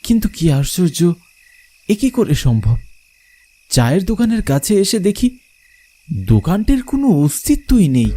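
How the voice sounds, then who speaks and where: A man's voice: a little high, mellow. A man narrating a scripted horror story in the first person, in a dramatic, suspenseful manner. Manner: suspenseful, theatrical.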